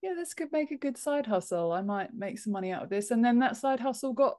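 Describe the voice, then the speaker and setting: A woman's voice: a little high, animated, quick pace, a little mellow. A woman in her thirties with an English accent, chatting informally and modestly as a podcast guest on a video call. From Britain. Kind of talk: casual interview chat. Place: home office. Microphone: wired earphones on a video call.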